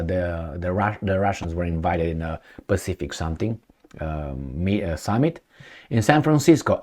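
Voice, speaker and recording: Sarcastic, lively-pitched man reading the news. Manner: sarcastic, stumbling over words and restarting. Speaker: political commentator. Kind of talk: reading a news article aloud with sarcastic asides.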